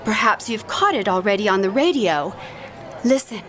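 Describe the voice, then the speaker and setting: A woman's voice: medium pitch, normal speed, natural voice. A woman playing an earnest, dramatic female radio news reporter in an audio drama, reporting live from the scene with urgency and awe. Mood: earnest, tense. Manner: dramatic, urgent, stammering in excitement. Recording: music and crowd noise behind. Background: music, busy crowd.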